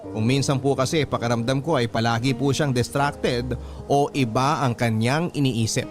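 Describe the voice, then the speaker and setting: A man's voice: medium pitch, fairly even intonation, normal speed. Filipino male storyteller over prominent music. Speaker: radio host reading a listener's letter. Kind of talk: dramatic first-person story narration.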